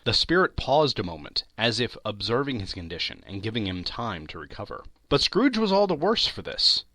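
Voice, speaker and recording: A man reads literary prose as a theatrical narrator. His lowish voice is very animated and forceful, lingering deliberately on key words. The recording is close and dry. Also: no room sound. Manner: theatrical, deliberate phrasing with emphatic word-by-word lists. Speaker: audiobook narrator, voice actor. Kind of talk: dramatic scripted literary narration.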